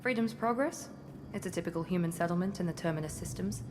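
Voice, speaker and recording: An actress playing a confident, critical covert operative in a video game, speaking in an aloof, self-assured way. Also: female voice, lowish voice, quick pace, lightly acted. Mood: detached, matter-of-fact. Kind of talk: video game cutscene acting. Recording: clear ambient noise behind.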